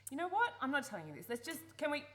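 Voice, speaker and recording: A woman playing a grown daughter in a radio play, guarded and exasperated with a tender core. Her voice is highish and very expressive in pitch, recorded live with noise in the background. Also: rapid-fire.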